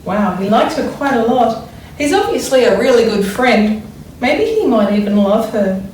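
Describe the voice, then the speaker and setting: A woman teacher reading a storybook aloud to young children, expressively and deliberately, pausing to wonder aloud about it. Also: fairly high pitch, very animated, darkish tone. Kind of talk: expressive children's read-aloud with think-aloud explanations. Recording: echoey room, distant mic, faint noise.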